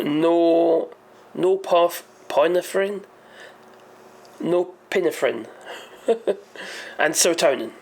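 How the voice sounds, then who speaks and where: Hoarse, rambling man with gravelly voice. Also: fairly high pitch. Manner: rambling, hesitant, self-correcting. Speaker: channel host. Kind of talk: casual explainer monologue.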